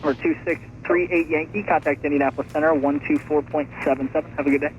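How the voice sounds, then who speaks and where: A man's voice: on the high side, steady tone, fast. A man giving clipped, matter-of-fact air traffic control instructions over aviation radio. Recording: muffled aviation radio, engine drone, music.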